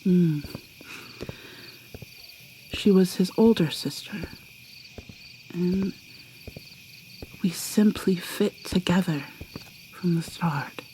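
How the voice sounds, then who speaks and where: Slow low whispery vampire mermaid, old and sad and guarded, woman doing the voice, faint crickets behind. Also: slight background noise, low-quality recording. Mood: wistful. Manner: soft whispering, halting and wistful. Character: ancient female vampire mermaid, guarded and sorrowful. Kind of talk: ASMR roleplay.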